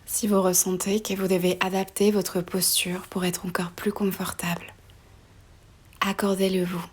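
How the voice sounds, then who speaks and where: A woman guiding a relaxation meditation in a soft, breathy voice often near a whisper, slow and soothing, with pauses between short phrases. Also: medium pitch, little pitch movement. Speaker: yoga nidra meditation guide.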